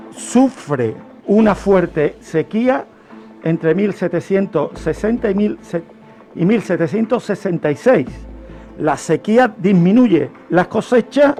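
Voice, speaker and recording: High-pitched, unhurried Spanish man. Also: warm tone. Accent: Spanish from Spain. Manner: didactic, poses questions and answers them. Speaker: history segment speaker on a radio programme. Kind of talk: unscripted radio history storytelling.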